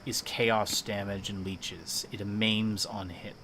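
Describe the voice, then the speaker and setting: A man's voice: on the low side, level tone, slow pace, bright, forceful tone, a little hiss or hum. A man chatting casually and thinking aloud as he streams a video game, in a playful manner.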